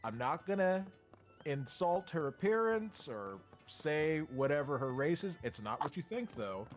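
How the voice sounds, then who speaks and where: A man in casual stream chat, calm and sympathetic, who tells his own stories in a measured way. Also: on the high side. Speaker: stream co-host. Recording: faint music behind.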